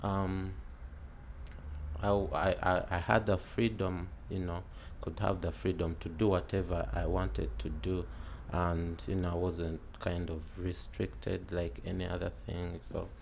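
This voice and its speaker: Interviewee: Ugandan-born man, late twenties, very low gravelly voice, slow and halting, faint background hiss. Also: little pitch movement, a little mellow. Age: 20s. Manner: hesitant, many fillers and restarts. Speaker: interviewee, art student. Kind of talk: casual oral history interview.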